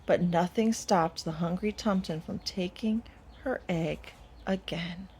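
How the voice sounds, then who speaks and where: Slow-paced woman reading a storybook. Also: fairly low pitch, mellow, breathy edge. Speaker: storybook reader. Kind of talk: expressive children's read-aloud narration.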